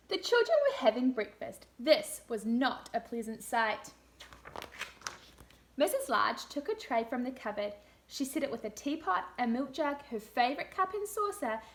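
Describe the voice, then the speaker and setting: A woman reading a picture book aloud to young children in a deliberate, animated storytelling manner. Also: a little high, a little hiss or hum. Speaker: teacher reading picture books to a class.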